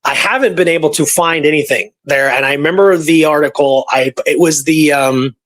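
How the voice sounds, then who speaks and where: A man with a somewhat high voice chats through historical research. He is informal and conversational, telling it like a story to a friend as a guest researcher. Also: moderate pace, fairly bright tone. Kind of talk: casual conversational history discussion.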